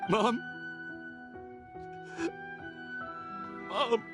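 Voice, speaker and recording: An actor playing a bitter, desperate young outcast son in a video game, theatrical and anguished. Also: male voice, extremely high pitch, slow. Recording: background music under the voice.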